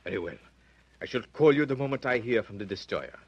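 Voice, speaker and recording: An actor playing a courteous, official captain in a 1930s radio drama, speaking in a formal, businesslike way. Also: male voice, mid-range voice, animated, fairly quick, breathy edge, dry recording. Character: courteous, official male ship or port captain. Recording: dull, muffled vintage radio recording.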